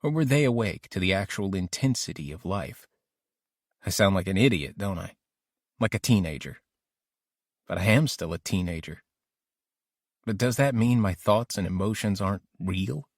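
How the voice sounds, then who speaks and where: A man's voice: deep voice, lively intonation, moderate pace, long pauses, no room sound, studio quality. A man narrating an audiobook diary as an ambitious, lovesick teenage boy, introspective and intense. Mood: lovesick, searching. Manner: introspective, self-questioning, intense.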